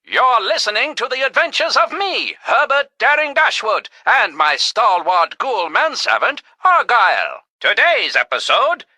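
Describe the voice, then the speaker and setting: Pompous old radio serial hero, high piercing voice, hammy and grand, game audio. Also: male voice, very animated, unhurried, hardly any pauses, bright, forceful tone, dry recording, exaggerated voice. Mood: grandiose. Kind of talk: old-time radio adventure acting.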